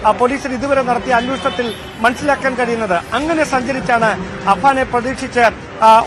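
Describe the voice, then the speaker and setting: A man reporting live as a field news reporter, explaining at length in halting, repetitive run-on sentences. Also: very high voice, low-quality recording.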